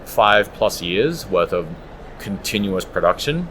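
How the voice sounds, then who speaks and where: A man's voice: lowish voice, very animated, unhurried, fairly bright tone, slight background noise, low-quality recording. A man giving conversational, explanatory answers as an audiobook producer being interviewed.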